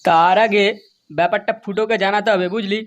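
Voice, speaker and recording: A man doing a brash, high-pitched cartoon village guy. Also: fairly even intonation, a little fast, muffled recording, lightly acted. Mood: gossipy. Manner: brash, foul-mouthed, exaggerated. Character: crude, quarrelsome village men in adult comedy cartoon. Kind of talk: exaggerated comedy cartoon voice-over.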